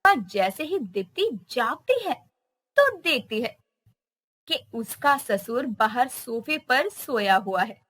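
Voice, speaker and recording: Casting: woman storyteller, expressive, slow and bright. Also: a little high. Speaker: narrator. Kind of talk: storytelling narration.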